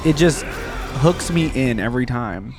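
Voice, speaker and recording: A man reacting to videos as a host, effusive and slangy, thinking out loud in casual commentary. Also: medium pitch, expressive, moderate pace. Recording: game audio and music behind.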